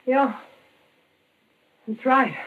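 An actress playing a vengeful, slangy gangster widow with a gun, curt and tough, in a 1940s radio thriller. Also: female voice, sits high, expressive, extremely slow, breathy edge, narrow, tinny audio, heavily acted. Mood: menacing, tough.

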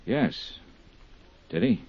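An actor playing a tough, persistent insurance investigator in a 1950s radio drama, with a clipped, direct, probing delivery. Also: male voice, very slow, a little mellow, no echo. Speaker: lead detective and narrator. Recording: muffled 1950s radio recording.

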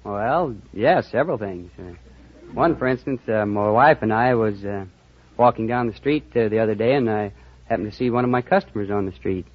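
A man's voice: fairly low pitch, brisk. An American man from Montana answering as a quiz contestant, folksy and a little hesitant as he tells things conversationally. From Montana, United States. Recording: dull old radio recording.

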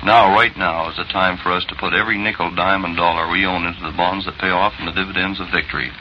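A man's voice: deep voice, fairly even intonation, fast talker. A man giving a scripted appeal as a guest over a remote 1940s radio link. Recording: dull remote radio link, faint noise.

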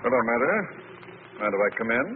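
An actor playing a suave, threatening crook with measured, coolly polite delivery in an old radio thriller. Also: male voice, mid-range voice, animated, lightly acted. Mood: falsely polite. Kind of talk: 1940s radio thriller acting. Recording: narrow, hissy old radio recording.

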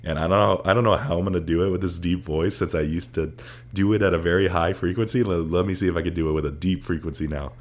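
A man co-hosting a casual podcast in an unusually deep voice, laughing often and swearing casually. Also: fairly even intonation, quick pace. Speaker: podcast co-host.

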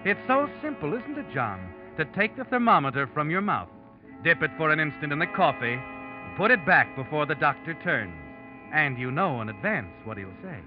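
A man's voice: fairly high pitch, big pitch swings, normal speed, highs cut off. Male suspense narrator, 1940s radio style: insinuating, dramatic, taunting, over prominent music. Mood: knowing, insinuating. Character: ominous, knowing narrator taunting a guilty man. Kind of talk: 1940s radio suspense narration, addressing the protagonist in second person. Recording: vintage radio recording, dramatic music behind.

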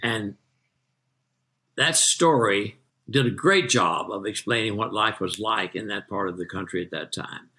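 Older American man, bright forceful voice. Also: medium pitch. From Texas, United States. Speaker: interview guest, retired magazine journalist. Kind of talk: anecdotal storytelling in an interview.

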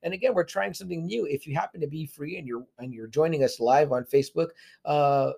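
A man's voice: on the high side, a little fast, vocal fry, warm tone, husky. A man in his thirties hosting a casual podcast, rambling and often adding "you know what I mean.". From South Texas. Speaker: podcast host. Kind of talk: casual chat.

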